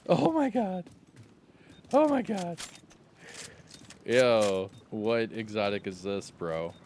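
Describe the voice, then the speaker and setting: A man with a very low voice talks through a video game run in a loose, joking way, and now and then bursts into excited shouts. His voice has gone slightly raspy, and a faint hiss sits under the recording. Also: slow. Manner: laid-back and joking, with excited outbursts. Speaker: gameplay commentator. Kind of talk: casual gameplay commentary.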